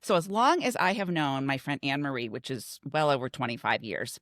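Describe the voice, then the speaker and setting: A woman's voice: very deep voice, sing-song, brisk, a bit bright, no room sound, studio quality. A middle-aged woman chatting casually as a podcast co-host. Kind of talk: casual conversational chat.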